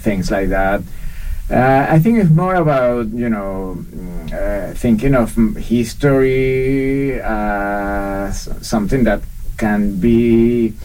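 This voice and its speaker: Slow, low-voiced man from Venezuela. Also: darkish tone. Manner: hesitant, many fillers and restarts. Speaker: guest, documentary researcher and professor. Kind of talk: interview answers. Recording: slightly distant mic with faint background noise.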